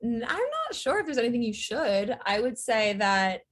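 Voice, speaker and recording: A woman, a writer and director, talking as an interview guest in a relaxed, thoughtful way with many fillers. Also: fairly high pitch, moderate pace. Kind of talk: casual interview chat.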